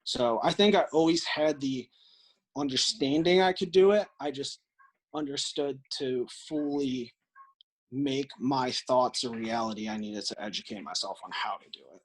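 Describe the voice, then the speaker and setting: A man's voice: medium pitch, moderate pace, poor audio. American guy on a podcast, earnest, explaining his views in a chatty interview way. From Illinois, United States. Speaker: podcast guest. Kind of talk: conversational interview answers.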